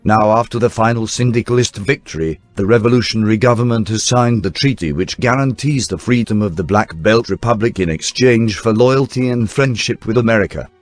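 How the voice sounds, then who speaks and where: A man reading scripted newsreel documentary narration in a formal, measured announcer style. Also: fairly low pitch, dry recording. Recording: dry voice-over with faint music bed. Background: faint background music.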